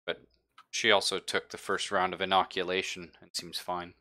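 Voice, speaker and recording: A man's voice: sits low, level tone, normal speed, sharp tone. A man talking his way through an improvised tabletop roleplaying session.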